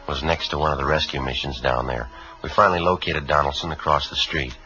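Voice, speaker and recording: An actor playing a level-headed homicide sergeant in a 1950s radio crime drama, speaking in a clipped, deadpan, matter-of-fact way. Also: male voice, rumbling low, fast. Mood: flat, businesslike. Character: level-headed male police homicide sergeant, narrating his case. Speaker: detective narrator. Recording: dull vintage radio recording, faint music.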